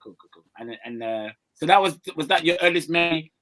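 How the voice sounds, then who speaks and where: Male podcast host on a video call; chatty, repetitive fillers, slightly high voice, dry sound. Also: lively intonation, brisk, a bit bright, no room sound. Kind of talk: casual podcast interviewing.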